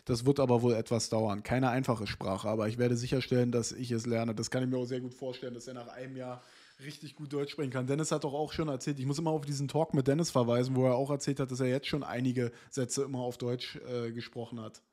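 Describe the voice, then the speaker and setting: A man's voice: mid-range voice, flat delivery, fast, mellow. Streamer, thirties, male, reads an article on stream and riffs on it casually, desk mic in a small room. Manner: reads text aloud, then comments in loose asides with fillers. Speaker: streamer reading and reacting to an interview. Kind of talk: casual chat, reading an article aloud.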